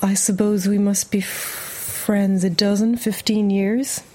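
Flat-voiced, measured woman friend. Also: medium pitch. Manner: measured, thoughtful, self-questioning. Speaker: longtime friend. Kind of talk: documentary interview.

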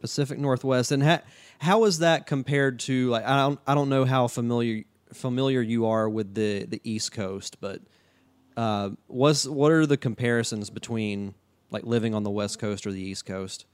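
A man hosts a laid-back podcast conversation. His voice is smooth and resonant at a middle pitch, and he talks in an easygoing way, restarting phrases as he goes. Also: moderate pace. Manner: relaxed, chatty, with frequent restarts. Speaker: podcast host. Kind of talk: casual podcast interview.

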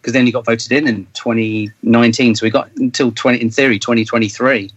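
A man's voice: medium pitch, steady tone, fast talker, dry recording. A British man chatting casually as a band member in an interview, rambling and thinking aloud as he goes.

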